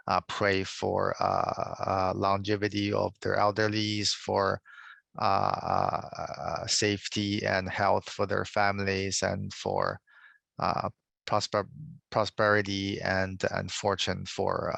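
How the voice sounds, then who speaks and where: Male presenter, low flat delivery, halting with fillers, dry call audio. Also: creaky, no room sound. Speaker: guest presenter. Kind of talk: slide presentation on holiday traditions. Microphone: video call.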